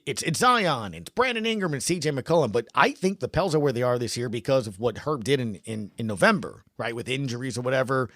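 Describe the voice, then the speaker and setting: A man's voice: mid-range voice, expressive, a little fast, hardly any pauses, fairly bright tone, no echo, clean studio sound. A man hosting a sports podcast, talking informally and conversationally as he thinks aloud.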